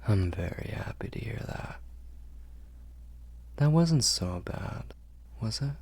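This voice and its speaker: Casting: male actor as refined, protective vampire lord for ASMR roleplay; very low, breathy, courtly and soothing. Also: animated, darkish tone, heavily acted. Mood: tender, soothing. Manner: slow, soothing, formal and courtly phrasing. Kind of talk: ASMR roleplay, intimate whispering and murmuring.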